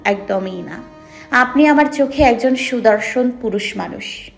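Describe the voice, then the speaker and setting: A woman playing a young wife in a romantic audio story, speaking quietly and plaintively. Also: fairly high pitch, animated, a little slow, gravelly. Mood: shy. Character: young wife, hurt and sulking, shy. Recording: soft background music.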